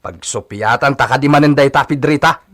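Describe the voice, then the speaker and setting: An actor with an Ilocano accent playing a loyal, cautious hacienda servant in a radio drama, gently chiding. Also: male voice, medium pitch, a little fast, close to an everyday voice. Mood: cautioning.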